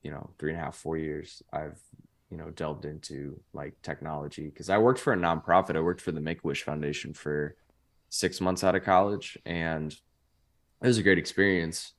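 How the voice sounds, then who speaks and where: A man's voice: extremely low pitch, fairly even intonation, normal speed. A young man in his twenties, a podcast guest telling stories in a loose, rambling way full of "like" fillers. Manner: rambling, heavy use of "like" fillers. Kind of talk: casual chat.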